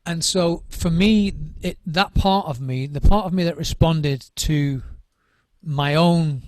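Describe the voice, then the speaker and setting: A man's voice: a little high, slow pace, a little mellow, no echo. A middle-aged man, a drum circle trainer, giving thoughtful interview answers, often restarting and repeating words as he searches for what to say.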